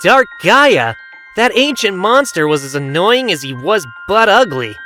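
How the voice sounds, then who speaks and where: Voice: medium pitch, very animated, measured pace, bright, forceful tone, faint music behind. An actor playing a cocky, carefree young male hedgehog hero with a breezy, boastful manner in a fan audio drama. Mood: cocky, dismissive.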